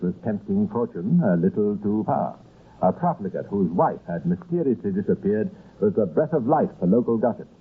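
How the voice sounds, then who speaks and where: Low-voiced male crime story narrator, tinny sound. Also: slightly rough. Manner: measured, wry, ominous storytelling. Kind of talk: scripted old radio crime drama narration. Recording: tinny, noisy old radio recording.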